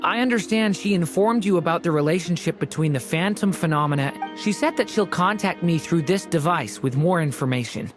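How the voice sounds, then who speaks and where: An actor voicing a polite, gentle being newly in human form in a game cutscene. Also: mid-range voice, animated, a little fast, hardly any pauses, music in the background, close to an everyday voice.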